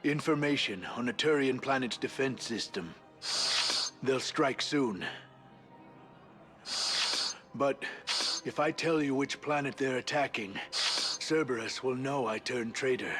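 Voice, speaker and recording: A man voicing a nervous, evasive small alien diplomat in a video game, formal and halting, through a suit's radio filter. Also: medium pitch, fairly bright tone. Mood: uneasy. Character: nervous, evasive male alien Volus diplomat, bargaining informant. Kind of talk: cinematic video game acting. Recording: game music underneath.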